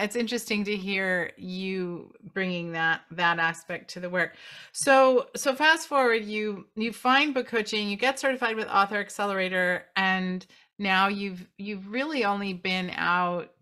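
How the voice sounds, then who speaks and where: A woman's voice: medium pitch, sharp tone. A woman interviewing a guest in a casual chat, enthusiastic and often restarting her sentences. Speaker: interviewer and company CEO.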